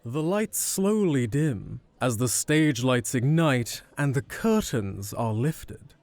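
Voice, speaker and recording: A man's voice: medium pitch, expressive, slow, darkish tone, no room sound. Man narrating an audio drama. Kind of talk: scripted narration.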